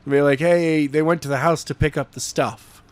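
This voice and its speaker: A man's voice: fairly high pitch, fairly even intonation, moderate pace, smooth. A man who is a podcast guest and reader, talking casually in a blunt, teasing, matter-of-fact way. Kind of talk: casual chat.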